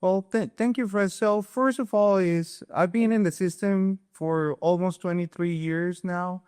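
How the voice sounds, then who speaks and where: A man's voice: sits high, steady tone, unhurried, a little mellow, clear, resonant tone. A man in his forties from Mexico, with a Mexican Spanish accent, answering interview questions eagerly and often restarting his sentences. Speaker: guest, supply chain executive.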